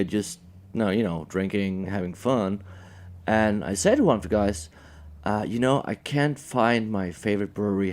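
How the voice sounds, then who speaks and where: A man's voice: fairly low pitch, darkish tone. A man in his thirties from Norway with a Norwegian accent, a brewery sales guest talking casually with many fillers and self-deprecating jokes. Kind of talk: casual radio interview.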